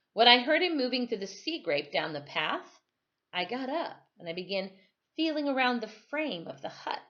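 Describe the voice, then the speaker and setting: A woman reading a novel aloud as a storyteller, with careful, dramatic phrasing and expressive narration. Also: mid-range voice. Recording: dull, lo-fi sound.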